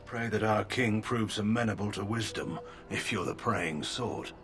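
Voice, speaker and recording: An actor playing a stern, proud older army general in a video game, speaking in a clipped, dismissive, formal way. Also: male voice, fairly low pitch, moderate pace, fairly bright tone.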